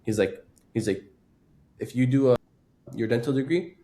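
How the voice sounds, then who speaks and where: A man's voice: medium pitch, moderate pace, warm tone. A man in his early twenties, a dental student guest, talking earnestly and a bit hesitantly. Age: 20s. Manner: earnest, hesitant, many "like" fillers. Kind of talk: casual podcast conversation.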